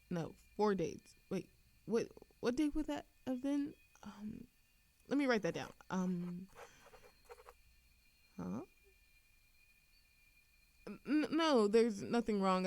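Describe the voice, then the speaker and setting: A woman's voice: medium pitch, a little mellow, dry recording. Woman acting as a flirty, lilting immortal woman. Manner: theatrical, coy, teasing, with frequent giggles and pauses. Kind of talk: scripted audio roleplay addressed to an unheard listener.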